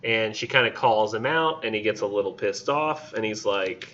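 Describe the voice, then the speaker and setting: A man lecturing a college literature class in a relaxed, chatty way. His voice is bright and forceful, and he slips into the characters' lines as he retells the story. The recording from the classroom sounds a little dull and muffled. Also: lowish voice, moderate pace, slight background noise. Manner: casual and conversational, acts out characters in quotes.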